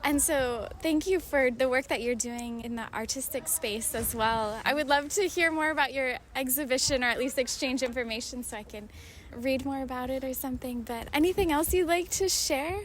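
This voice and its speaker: A high-voiced woman host talks in a gushing, appreciative way. She was recorded outdoors by a river, with some faint street noise. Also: normal speed, hardly any pauses. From Hawaii. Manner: gushing, appreciative, laughs often. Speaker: podcast host. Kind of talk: warm interview chat.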